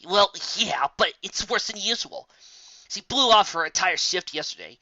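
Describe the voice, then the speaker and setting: A grumpy female pegasus from a cartoon fan story, as a narrator plays her. She is blunt and exasperated, with a bright, tense edge on a dull recording. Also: medium pitch, lively intonation, moderate pace, no room sound, pitched above the performer's own voice. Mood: grumbling. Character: grumpy, temperamental female pegasus weather worker.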